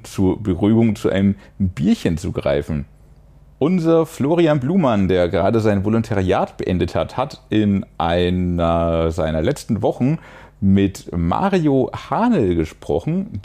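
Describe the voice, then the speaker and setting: A man's voice: on the low side, dark tone. A man hosting a music podcast, giving casual reviews and introducing segments.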